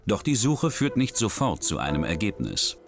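A man giving scripted true-crime documentary narration in a measured, even way. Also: fairly low pitch. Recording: studio voice-over with music bed.

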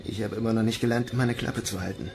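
A man's voice: fairly low pitch, steady tone, fast, gravelly. A man playing a world-weary, guarded but protective Mars mission commander in a German audio drama.

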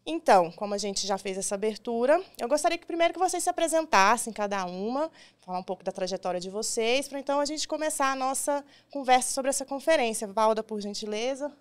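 A woman's voice: a little high, animated, quick pace, smooth. A woman in her thirties with a Brazilian accent, hosting a podcast and guiding an interview at a studio microphone. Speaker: podcast host. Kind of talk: scripted introduction and interview questions. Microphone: desk studio microphone on boom arm.

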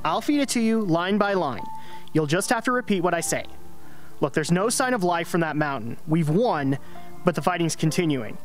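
Radio drama: man as coaxing, pragmatic mentor to a young rebel, high male voice. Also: animated, moderate pace, soft background music, close to an everyday voice. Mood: coaxing, urgent. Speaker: actor in a radio play.

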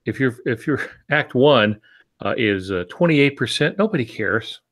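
A man's voice: medium pitch, animated, measured pace, a bit bright. A man in his sixties explaining things informally, with easygoing asides and disclaimers, on a video call from a home office. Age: 60s. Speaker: co-host and writing teacher.

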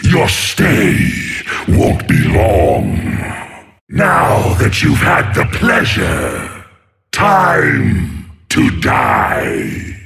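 A man voicing a menacing, imperious sea monster tyrant for a video game, growling slowly and theatrically as he gloats. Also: low-pitched, wildly swooping pitch, fairly bright tone, a little hiss or hum, full character voice. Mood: menacing, gloating. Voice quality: gravelly, growling. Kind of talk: video game character voice lines.

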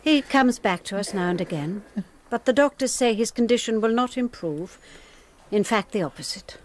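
An actress playing a brisk, stoic housekeeper who speaks plainly, in a radio drama. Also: female voice, a little high, expressive, slight background noise, close to an everyday voice. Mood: matter-of-fact. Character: brisk middle-aged female housekeeper. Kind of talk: 1990s radio drama acting.

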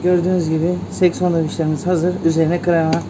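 A man's voice: a little high, flat delivery, quick pace, background noise. A man in his thirties hosts a step-by-step cocktail demonstration at a hotel bar and plainly narrates each step as he works. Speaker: bartender host.

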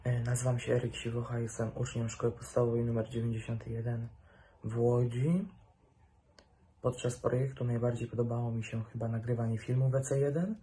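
A man's voice: medium pitch, warm tone. A teenage male student giving an informal testimonial into a phone held at arm's length. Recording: noisy phone selfie recording.

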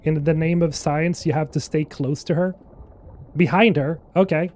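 A man in his thirties from Sweden streams a game and keeps up a joking, rambling chat with his viewers. His voice sits a little high for a man. He is heard through a headset mic in a small room. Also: steady tone, moderate pace, a little mellow. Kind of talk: casual gameplay chat.

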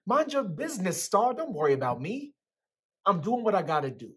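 A man's voice: high voice, sing-song, brisk, fairly bright tone, husky, heavily acted. A young man with an American accent playing a guarded, streetwise teenage boy in a dramatic book reading. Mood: defensive. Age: young adult. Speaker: actor reading a character's dialogue. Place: indoors in a small plain room. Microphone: video call, close to computer.

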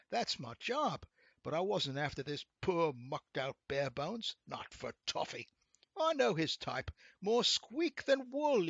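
A man's voice: high voice, vocal fry, sharp tone, breathy edge, dry recording, voice pushed up, heavily acted. A man voicing a shabby, whiny, indignant pickpocket in a storybook tale, talking in thick old street-thief slang. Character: shabby, mean-looking male rascal pickpocket, whiny and indignant.

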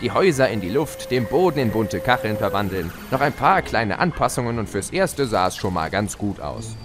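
A man's voice: on the low side, very animated, moderate pace, music in the background. An actor playing a gleeful chaos spirit, a theatrical, mocking villain who narrates his own tale in an audio drama. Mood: smug storytelling.

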